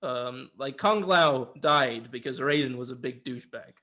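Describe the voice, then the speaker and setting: A man's voice: mid-range voice, moderate pace, sharp tone. A man giving a casual, opinionated film review in informal, sweary conversation. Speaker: film reviewer on a two-person show.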